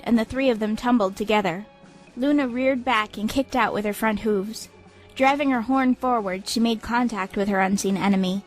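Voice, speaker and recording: A woman narrator reads a scripted story in dramatic audiobook style. Her voice sits slightly high, and music plays softly behind it. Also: low-quality recording.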